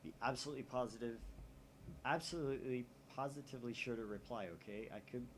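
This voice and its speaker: A man voicing a shy twenty-year-old woman whose email he reads out in a soft, hesitant, apologetic way. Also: mid-range voice, fast talker, slight background noise, lightly acted, rough recording. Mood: pleading.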